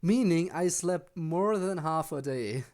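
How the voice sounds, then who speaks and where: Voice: mid-range voice, lively intonation, slow pace, a little mellow. A game streamer chatting casually to viewers while playing, wandering off into rambling asides.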